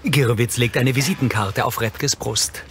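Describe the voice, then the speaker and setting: A man's voice: mid-range voice, measured pace, fairly bright tone, slight background noise. A man reading scripted audio description as a narrator.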